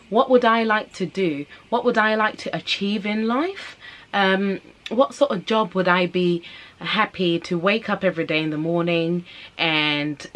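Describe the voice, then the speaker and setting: A woman's voice: mid-range voice, fairly bright tone, low-quality recording. A woman in her thirties with a Zimbabwean accent, giving earnest, encouraging career advice straight to camera and repeating phrases for emphasis. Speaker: vlogger and mother. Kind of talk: casual advice vlog talking to camera.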